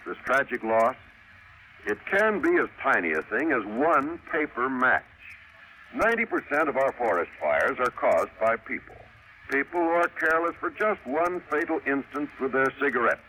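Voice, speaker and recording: A man announcing on mid-century radio, reading a script with grave, emphatic and deliberate phrasing. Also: mid-range voice, bright, forceful tone. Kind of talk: 1950s radio announcing, scripted public service narration. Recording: noisy vintage radio broadcast.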